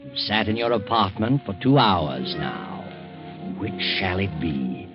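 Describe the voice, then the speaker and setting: A man's voice: deep voice, sing-song, takes it slowly, dull audio, full character voice. A man narrating a suspense drama in an ominous, portentous old-time radio style. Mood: probing, grave. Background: dramatic music.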